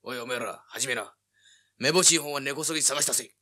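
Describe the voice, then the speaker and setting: An actor playing a menacing yakuza enforcer in an audio drama, smug and mock-polite. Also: male voice, mid-range voice, fast talker, pushed and bright.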